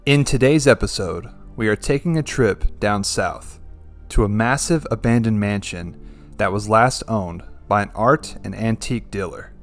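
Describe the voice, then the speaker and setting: Guy doing scripted voiceover, lowish voice, quiet music under it. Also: normal speed. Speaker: narrator. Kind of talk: scripted voice-over narration. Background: faint music bed.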